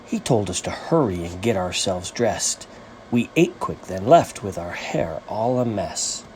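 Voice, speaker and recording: A man reading a rhyming children's story as its author, with an animated, sing-song rhythmic delivery. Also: lowish voice, unhurried, noisy background.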